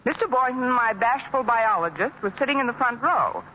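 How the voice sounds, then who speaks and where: A woman plays a sharp-tongued schoolteacher with wry asides. Her voice sits high and swings lively, over a tinny, hissing old radio broadcast. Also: fairly quick, lightly acted. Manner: wisecracking, dry asides. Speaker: schoolteacher narrator and lead. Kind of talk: 1940s radio sitcom acting.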